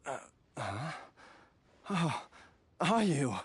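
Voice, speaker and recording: An actor playing a gentle, weary, befuddled father in a video game, halting and grateful. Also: fairly high pitch, sing-song, background noise, exaggerated voice. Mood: dazed, apologetic. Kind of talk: video game character acting. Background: game music.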